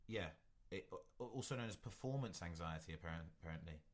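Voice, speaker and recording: A man with a notably deep, low voice co-hosting a relaxed podcast. He talks in a meandering, hesitant way, restarting his sentences often. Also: fast. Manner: rambling, hesitant, with many restarts. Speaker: podcast co-host. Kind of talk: casual chat.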